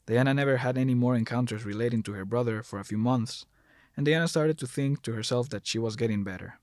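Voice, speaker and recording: A man's voice: mid-range voice, fast, a little mellow, dry recording. A man narrating a scripted horror story as the narrator, recorded close to the mic.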